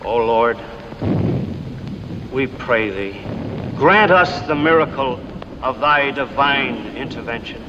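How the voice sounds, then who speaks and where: A solemn, devout man of the church played by a male actor, praying slowly in 1950s film style. The dull old soundtrack is noisy, with long reverb around his declamatory delivery. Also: medium pitch, close to an everyday voice. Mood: grave pleading. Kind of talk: 1950s film acting, recited prayer.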